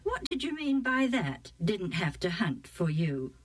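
A woman's voice: mid-range voice, sing-song, measured pace. A woman voicing an earnest, shrewd teenage son who speaks in a questioning way in a Bible storybook. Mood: curious. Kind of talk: acted Bible storybook dialogue.

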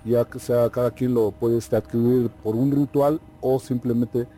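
Very mellow Mexican man, native Mazatec speaker. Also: medium pitch, fairly even intonation, measured pace. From Oaxaca, Mexico. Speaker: painter, interview guest. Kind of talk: conversational interview. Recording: faint music behind.

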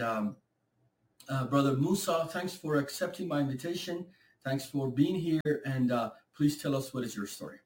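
Halting male host in an echoey room, mic at a distance. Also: a little high. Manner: plain, halting with fillers. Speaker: interview host. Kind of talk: introducing a guest.

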